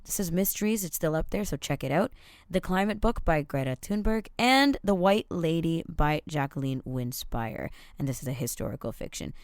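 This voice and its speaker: A woman hosting a podcast, chatting in a casual, upbeat way with quick asides; her first language is Tamil. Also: low-pitched, expressive, dry recording, studio quality. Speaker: podcast host.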